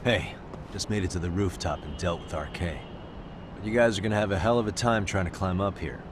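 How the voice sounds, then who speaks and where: Low-voiced, cool-headed detective played by a man. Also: brisk, noisy background, close to an everyday voice. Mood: focused, casual. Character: cool-headed male private detective, adult, wry and tactical. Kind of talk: English video game dub acting.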